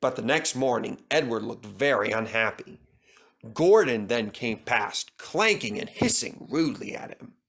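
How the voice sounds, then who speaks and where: A man's voice: mid-range voice, animated, a little slow, gravelly, fairly bright tone. A man reading a scripted children's story as narrator. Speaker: storyteller, reader.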